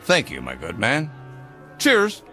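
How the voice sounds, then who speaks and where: An actor playing a jovial, pompous boss cutting loose over drinks, with an expansive, playfully grandiose theatrical delivery. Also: male voice, medium pitch, huge pitch swings, slow pace, music in the background. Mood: gleeful. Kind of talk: theatrical radio drama acting.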